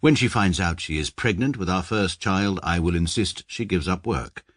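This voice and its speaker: Smug english lawyer narrating, super low husky voice, bounces around a lot. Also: male voice, normal speed, no room sound. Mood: dry. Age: 30s. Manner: dry, self-satisfied, deadpan. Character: pompous precise male barrister, thirty-three, dry. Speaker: narrator and lead. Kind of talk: radio drama first-person narration.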